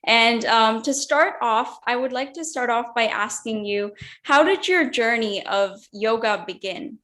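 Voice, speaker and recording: A woman hosts an interview over a video call, her voice sitting a little higher than most. She reads her introduction and asks her questions in an upbeat, gracious way. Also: a little slow, a bit bright. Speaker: host.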